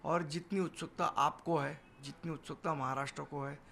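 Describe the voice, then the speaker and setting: Male party spokesman, higher-set voice. Assertive news-interview answers, light ambient noise. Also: fairly even intonation, moderate pace, gravelly, pushed and bright. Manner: assertive, defiant, emphatic repetition. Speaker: political party leader and spokesman. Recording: noisy location sound, background ambience.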